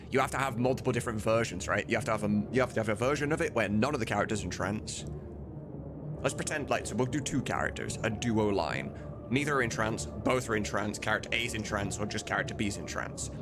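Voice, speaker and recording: A man hosting a casual livestream as a mod developer, rambling, blunt and sweary as he thinks aloud. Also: lowish voice. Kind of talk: casual livestream chat while demonstrating.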